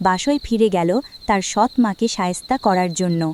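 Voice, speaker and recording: A woman narrating a cartoon fairy tale in an even storytelling voice. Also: medium pitch, fairly quick, slight background noise.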